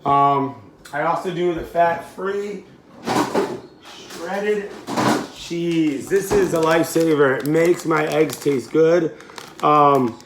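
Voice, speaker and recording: A man's voice: on the high side, slow pace, background noise. A man in his late thirties, a fitness coach, chatting casually in a home cooking vlog, rambling and correcting himself with easy laughs. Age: 30s.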